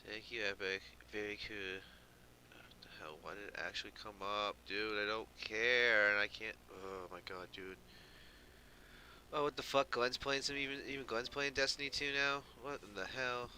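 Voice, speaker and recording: Low-voiced man grumbling, noisy recording. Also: fairly even intonation, moderate pace, bright, forceful tone. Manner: profane, slangy; grumbling, sardonic. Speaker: video game streamer. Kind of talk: casual live game commentary. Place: room at home with an open window. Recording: clearly noisy room recording. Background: background noise.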